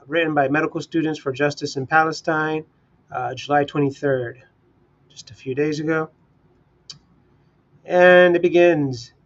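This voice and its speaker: A man's voice: a little high, lo-fi sound. A man hosting a livestream read-along, speaking in an informal, unpolished amateur way. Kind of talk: casual livestream talk and reading articles aloud.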